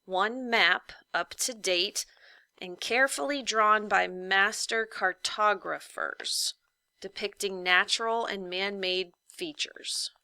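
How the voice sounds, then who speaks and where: A woman voicing a formal printed notice in a whimsical storybook, official and measured, drawing out key words. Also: mid-range voice, sharp tone, no room sound. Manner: official, measured, drawn-out emphasis.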